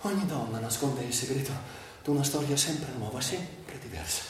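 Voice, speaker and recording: A man's voice: mid-range voice, animated, normal speed. A man performing a theatrical literary monologue for radio, brooding, in broken phrases with dramatic swings. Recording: very echoey room, distant, faint noise.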